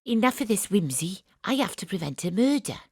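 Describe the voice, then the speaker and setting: An actress playing a wry, cocky woman detective who narrates her case in a deadpan, confiding audio drama style. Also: medium pitch, a little fast, husky, no room sound, clean studio sound. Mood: conspiratorial.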